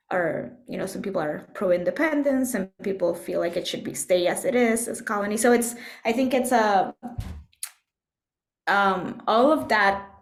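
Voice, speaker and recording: A woman from Puerto Rico talks in a reflective interview, with a mid-range voice. She thinks as she goes, pausing and searching for the right phrase. The room around her adds a clear echo. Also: mid-range voice. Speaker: interview guest, composer and musician. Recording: echoey room sound.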